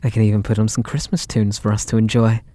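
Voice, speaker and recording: An actor with a British accent playing a possessive, lovestruck kidnapper in an ASMR roleplay, sweet and doting in a theatrical, intimate way. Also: male voice, on the low side, little pitch movement, fast, warm tone, natural voice. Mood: adoring, intimate. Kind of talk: ASMR roleplay audio drama.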